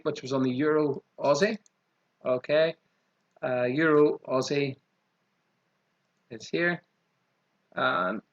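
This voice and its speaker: A man with a strong Irish accent walking through trading charts quickly, often saying "okay" as he goes. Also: medium pitch, fairly bright tone, muffled recording. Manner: quick, repeats 'okay' as a filler. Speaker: trading channel host. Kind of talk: screen-share chart walkthrough.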